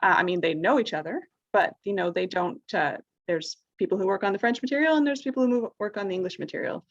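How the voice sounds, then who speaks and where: Woman moderator with a gravelly voice. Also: medium pitch, fast talker, no room sound. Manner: friendly, reads out audience questions. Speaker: roundtable moderator. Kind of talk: online roundtable discussion. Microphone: video call. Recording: dry, dull lo-fi video call audio.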